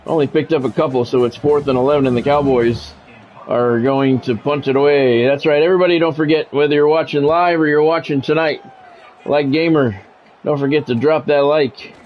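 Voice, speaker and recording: A man's voice: fairly high pitch, steady tone, a little fast, highs cut off, a little hiss or hum. A man livestreaming as a sports commentator, switching between clipped announcer-style play calls and casual chat with viewers over music. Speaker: game streamer calling play-by-play.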